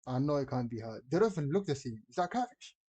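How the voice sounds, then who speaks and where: A man's voice: medium pitch, big pitch swings, fast, dark tone, slightly rough. A man giving casual, slangy, exclamatory commentary as a reaction video host.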